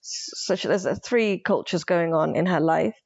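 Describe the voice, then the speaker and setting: A woman from Somalia speaking thoughtfully in a casual book conversation, pausing and restarting as she reflects. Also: fairly low pitch, creaky, darkish tone, husky. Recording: slightly dull lo-fi sound.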